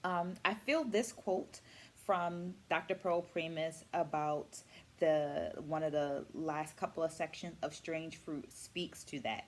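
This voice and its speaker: A middle-aged woman speaking as an educator in a reflective vlog, with deliberate, articulate phrasing and emphatic stresses. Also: deep voice, slight background noise. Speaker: vlogger and dance educator. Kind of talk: reflective book-review vlog.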